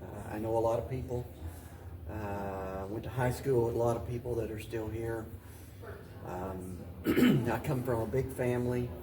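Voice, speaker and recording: An American man answering interview questions in a deliberate, halting way, often restating his phrases as he goes. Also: mid-range voice, background noise. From Paducah, Kentucky, United States. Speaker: mayor and interview guest.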